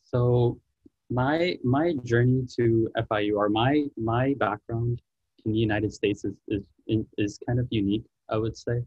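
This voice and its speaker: A man's voice: medium pitch, steady tone, a little slow, darkish tone, no room sound, dull audio. A young man born in Trinidad, a student guest talking earnestly on a video call from home, often restarting his sentences. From Trinidad and Tobago. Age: young adult. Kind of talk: online panel Q&A.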